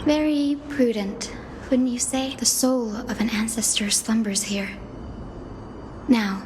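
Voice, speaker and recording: High-voiced, earnest young healer heroine. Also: female voice, steady tone, takes it slowly, background noise. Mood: light, musing. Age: young adult. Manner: earnest, polite, formal. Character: gentle, resolute young healer heroine of sky people. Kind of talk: video game voice acting.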